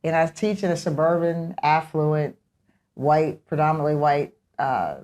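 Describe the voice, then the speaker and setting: Low-voiced American woman, emphatic talker. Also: measured pace, a little mellow. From Pittsburgh, United States. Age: 50s. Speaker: podcast guest, teacher. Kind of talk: conversational interview.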